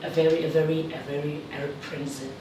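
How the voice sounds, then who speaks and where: A woman from South Africa, a teacher, chatting casually in an interview with a lively manner and frequent laughs. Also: low-pitched, fast. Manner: lively, laughs often, animated when joking. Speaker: guest, teacher in China. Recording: echoey room, distant mic, faint noise.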